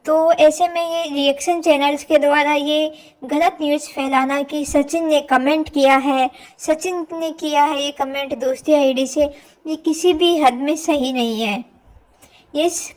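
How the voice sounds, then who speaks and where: A woman's voice: high-pitched, low-quality recording. A woman vlogger giving informal gossip commentary in an opinionated, insistent, questioning manner. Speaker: reaction vlogger.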